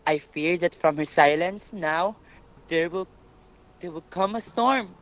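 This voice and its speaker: A man's voice: high-pitched, measured pace, fairly bright tone, low-quality recording. A man playing a grave messenger of doom in a readers' theatre Greek tragedy, with dramatic, emphatic delivery. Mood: alarmed. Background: music.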